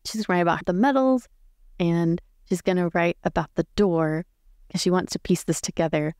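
A woman's voice: on the low side, moderate pace, a little mellow, clean tone, no room sound, studio quality. A woman playing a tabletop roleplay game, casually narrating her character's choices and thinking aloud.

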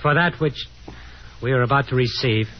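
An actor playing a wry, sardonic young American son who also narrates, in a dry manner, in a 1950s radio drama. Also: male voice, mid-range voice, moderate pace, a little hiss or hum, natural voice. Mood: wry, placating. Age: young adult. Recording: muffled 1950s radio recording with music.

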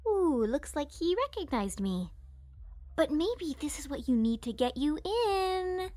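A perky young mob princess in her early twenties played by an actress talks with girlish confidence and eagerness. Her voice is high and bouncy, recorded dry for an audio drama. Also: big pitch swings, measured pace, dark tone, dry recording. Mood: excited, confident.

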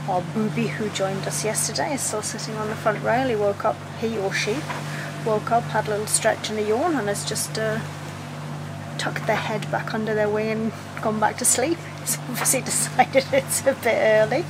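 A woman's voice: mid-range voice, steady tone, normal speed. Woman, middle-aged, upbeat vlog chat, handheld camera on a catamaran, heavy wind noise. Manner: chatty, upbeat and cheerful. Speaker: sailing vlogger. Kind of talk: casual vlog narration to camera. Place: on a sailing catamaran at sea. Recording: loud wind and engine noise.

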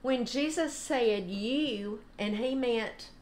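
An older woman giving an informal, unhurried devotional talk. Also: a little high, a little mellow, a little hiss or hum. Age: older, about 70s. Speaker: devotional host. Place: home kitchen, indoors.

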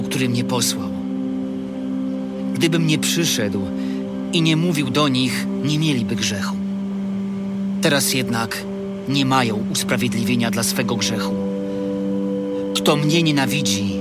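Prominent music surrounds a male actor playing a solemn spiritual master from a scriptural audio drama. His voice sits at a middle pitch. He addresses his disciples with deliberate, earnest, loving gravity. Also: natural voice. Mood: grave. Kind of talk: dramatized audio Bible, measured scripted delivery.

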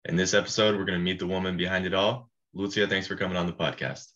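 A man's voice: low-pitched, fast, fairly bright tone. A young man hosting a podcast over a video call, asking questions in a laid-back, friendly way with "you know" fillers. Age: young adult. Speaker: podcast host. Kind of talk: interview hosting. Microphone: video call webcam. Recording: dull lo-fi webcam audio.

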